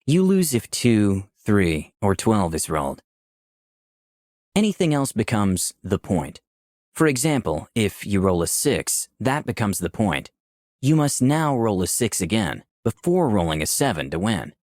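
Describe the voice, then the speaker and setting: An actor playing a composed, charming incarnation of lust, in visual novel dialogue and inner monologue. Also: male voice, on the low side, big pitch swings, unhurried, darkish tone, dry recording, clean studio sound. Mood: musing.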